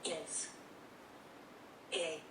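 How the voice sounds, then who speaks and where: Slow synthetic woman's voice from a tablet. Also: slight background noise. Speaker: communication device voice. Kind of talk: synthetic speech, word by word. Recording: tablet speaker in echoey room, distant.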